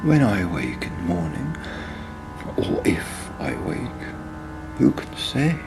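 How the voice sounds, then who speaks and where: Slow, gravelly man as a disconsolate village drinker. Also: deep voice, big pitch swings, over music, heavily acted. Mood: wry, disconsolate. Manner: slow, halting, deliberate with long pauses. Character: disconsolate, dissolute, low-living old village drinker narrating. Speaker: author reading own short story. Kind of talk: literary story reading. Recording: clear outdoor ambience and vehicle noise. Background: field recordings of ambience and vehicles.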